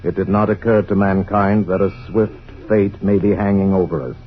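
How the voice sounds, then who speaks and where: Over music and through a dull vintage radio sound comes a low-voiced, solemn narrator played by a male actor. He tells a science fiction tale in measured, portentous phrases, grave and all-knowing. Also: fairly even intonation, close to an everyday voice. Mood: grave, ominous. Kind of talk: scripted radio drama narration. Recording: dull old radio broadcast recording. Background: dramatic music.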